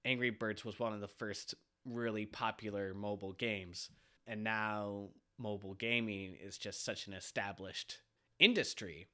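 A man's voice: fairly low pitch, fairly even intonation, measured pace, pushed and bright. A man hosting a casual podcast chat, a little stuffy from a cold, asking questions and laughing easily. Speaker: podcast host.

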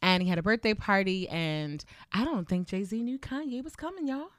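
A woman's voice: mid-range voice, moderate pace, no echo. Candid woman podcast host, close dry sound. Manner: animated, slangy, swears freely. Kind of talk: casual chat.

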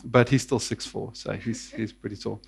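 A man's voice: fairly low pitch, fairly even intonation, moderate pace, gravelly, slightly rough. A man, telling his life story to a church in a casual, hesitant way with many fillers. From South Africa. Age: 30s. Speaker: interview guest.

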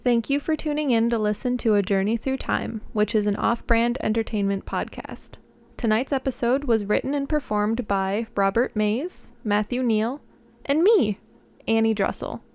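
Higher-pitched woman announcer. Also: normal speed, a little mellow. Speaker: announcer reading credits. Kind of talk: scripted credits read.

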